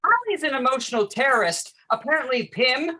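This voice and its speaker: Stage reading: woman as sardonic, worn-thin parent, newly dating. Highish voice, animated pitch, dry and blunt delivery. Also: fairly quick, slightly rough, exaggerated voice. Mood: exasperated. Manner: blunt, dry, clipped, skeptical. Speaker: actor. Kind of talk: staged play reading, naturalistic dialogue.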